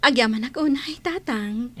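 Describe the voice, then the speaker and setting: A woman's voice: a little high, normal speed, close to an everyday voice. A woman playing an earnest young mother in a radio drama, speaking in a heartfelt way. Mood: happy, grateful. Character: earnest young mother returning from work abroad.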